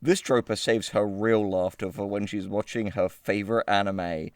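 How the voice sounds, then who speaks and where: A man's voice: on the low side, steady tone, normal speed, smooth, dry recording, clean studio sound. Sweary joking man hosting a comedy chat. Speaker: show host reading out web entries. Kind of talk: casual comedic chat with read-aloud bits.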